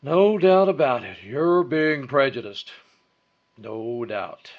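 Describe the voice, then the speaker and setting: An actor playing a blunt, dry police lieutenant in an old-time radio drama, terse and matter-of-fact. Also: male voice, mid-range voice, lively intonation, slow pace. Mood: blunt, considering. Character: blunt, dry, fair-minded male police detective lieutenant. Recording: dull, lo-fi audio.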